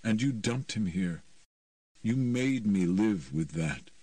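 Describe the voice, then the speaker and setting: Man voicing a tormented teen boy, anguished, raw, slow, dry distant mic. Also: fairly low pitch, animated, mellow, no room sound. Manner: raw, anguished, turning icy cold.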